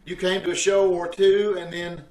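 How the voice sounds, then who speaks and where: A man's voice: high voice, flat delivery, normal speed, a little mellow. A man co-hosting a community theater troupe's casual group chat over a video call. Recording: roomy, distant video call audio.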